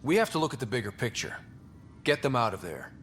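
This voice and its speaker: Game hero: young explorer-leader, earnest, lively mid-range voice, faint rumble behind. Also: male voice, fast talker. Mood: firm. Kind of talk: video game dialogue acting.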